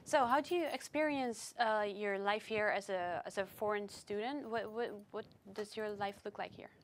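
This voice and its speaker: A woman's voice: medium pitch, normal speed, slight background noise. A young woman student leading an interview, asking questions and giving brief encouraging replies. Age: young adult.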